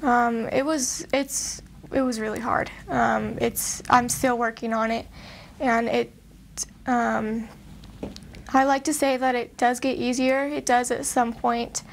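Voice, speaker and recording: Hesitant young woman with a gravelly edge. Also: fairly high pitch, steady tone, slow, a little hiss or hum. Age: young adult. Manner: hesitant, many fillers. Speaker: interviewee. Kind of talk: interview.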